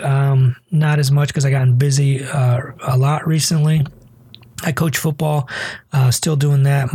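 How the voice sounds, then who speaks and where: A man's voice: medium pitch, dark tone, slightly rough, no room sound, studio quality. A man in his forties hosting a casual solo podcast, chatty with many fillers and restarts. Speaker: podcast host, cardiologist.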